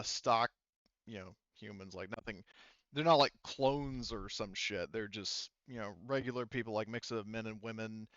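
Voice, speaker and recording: A man speaking casually and haltingly as the game master of a tabletop roleplaying game. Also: medium pitch, fairly bright tone, clean tone, no room sound, lo-fi sound. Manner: halting, informal.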